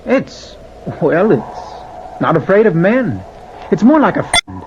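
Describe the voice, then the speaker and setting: Innocent youth at sea, wide-eyed, animated, for radio play; slightly noisy vintage sound. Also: male voice, sits high, takes it slowly, full character voice. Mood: wonder, eager. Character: earnest, innocent young male sailor, the nephew. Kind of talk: old-time radio drama acting. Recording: muffled, faintly noisy vintage radio recording.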